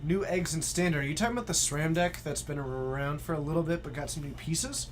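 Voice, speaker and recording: Young man, casual commentator, slightly high voice, faint store noise behind. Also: a little fast. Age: 20s. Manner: dry, joking banter. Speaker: stream commentator. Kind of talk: casual live game commentary. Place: indoor game store.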